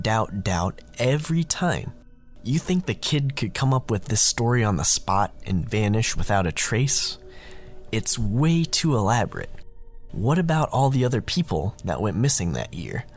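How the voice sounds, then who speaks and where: Casting: a man with a lowish voice, slow and wry, telling a spooky story over soft music. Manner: casual, wry, conversational. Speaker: narrator and podcast host. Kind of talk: scripted horror storytelling.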